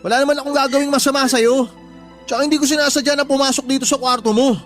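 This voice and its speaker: A young bully of the house in a radio drama, his voice pitched high. He is drunk, defiant and menacing, sneering insults and shifting blame, with music behind him. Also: fairly even intonation, quick pace, fairly bright tone. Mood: defensive, menacing. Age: young adult. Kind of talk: Tagalog radio drama acting.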